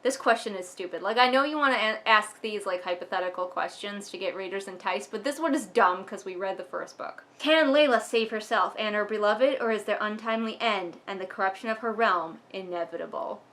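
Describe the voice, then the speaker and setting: A woman's voice: mid-range voice, fairly quick, few pauses, a bit bright. A woman hosting a book vlog, chatting casually to viewers and reading aloud with wry, sardonic asides. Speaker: book commentary vlogger and host.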